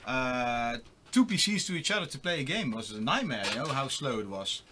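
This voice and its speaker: A man's voice: medium pitch, big pitch swings, brisk, background noise. A middle-aged man with a Dutch accent, chatting casually and rambling with many fillers while building a computer. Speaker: host.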